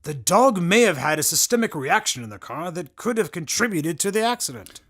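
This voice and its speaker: High-voiced, clinical medical examiner man acting. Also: big pitch swings, fairly quick, a bit bright, exaggerated voice. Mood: intrigued, clinical. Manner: clinical, explanatory. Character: matter-of-fact male medical examiner reporting odd findings. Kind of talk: audio drama acting.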